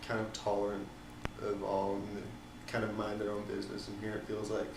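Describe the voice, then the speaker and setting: A young man from the Netherlands answering interview questions casually, pausing often with ums and likes. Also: on the low side, monotone, vocal fry, reverberant, recorded from a distance, background noise. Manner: hesitant, many ums and likes.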